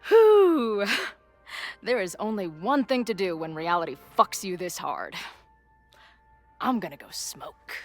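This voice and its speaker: A sardonic, rough-edged young woman for a narrative video game. Her mid-pitched delivery is blunt and casual, with vulnerability under the swagger. Light music plays behind her. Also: very animated, slow pace, long pauses. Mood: bitter. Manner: blunt, sarcastic, slangy. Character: brash, foul-mouthed, sardonic young punk woman, secretly vulnerable. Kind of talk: naturalistic video game drama acting.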